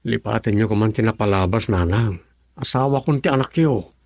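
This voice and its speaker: Gravelly, pleading poor husband. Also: male voice, on the low side, a little fast, darkish tone, no echo. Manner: pleading, defensive. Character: poor, pleading husband, devoted but down on his luck. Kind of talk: Ilocano radio drama acting.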